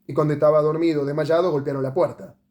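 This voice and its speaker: A pensive police commissioner from a storybook, voiced by a male reader. His voice is mellow and slightly high. He talks in blunt, terse bursts and mulls things over by repeating himself. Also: fairly even intonation, fast, close to an everyday voice. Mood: musing.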